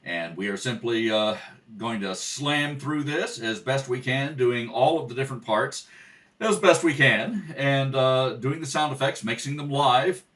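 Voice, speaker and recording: A man's voice: mid-range voice, measured pace, fairly bright tone. A man informally introducing a theatre rehearsal, recorded at a kitchen table on one shared microphone. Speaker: community theatre rehearsal leader. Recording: distant shared home microphone.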